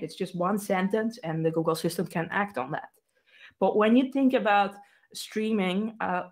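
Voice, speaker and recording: A woman in her thirties with a Dutch accent, walking through a technical demo over a webcam from a small room. Her talk is casual and loose, full of fillers and restarts. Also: mid-range voice. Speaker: developer advocate presenter. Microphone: webcam video call.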